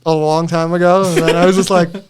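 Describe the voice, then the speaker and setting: High-voiced man bantering on a podcast. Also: little pitch movement, fast talker, a crowd in the background. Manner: jokey, laughs often while talking. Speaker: podcast co-host. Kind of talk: casual banter among friends.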